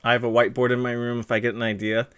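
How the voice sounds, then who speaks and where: A man's voice: mid-range voice, level tone, racing, fairly bright tone, smooth. Chatty young man hosting a stream. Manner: chatty, rambling, many fillers and restarts. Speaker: streamer host interviewing a guest. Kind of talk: casual live-stream chat, reading viewer comments.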